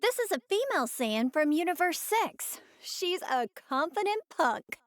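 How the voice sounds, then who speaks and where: A woman's voice: very high voice, big pitch swings, a little slow, no room sound, full character voice. A woman voicing a bubbly, candid young woman in a video game, chatting casually with a girlish, frank manner. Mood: curious, frank. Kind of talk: animated video game voice acting.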